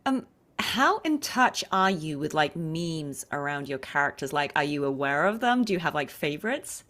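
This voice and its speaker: A woman's voice: sits low, sing-song, normal speed, fairly bright tone. A woman hosting a casual interview in an informal, gushing manner. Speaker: interview show host.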